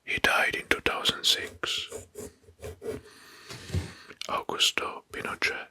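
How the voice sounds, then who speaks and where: Whispering man doing slow ASMR narration. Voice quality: breathy, hushed whisper. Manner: slow, deliberate, often repeating names and phrases softly. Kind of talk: whispered, soft-spoken ASMR history narration.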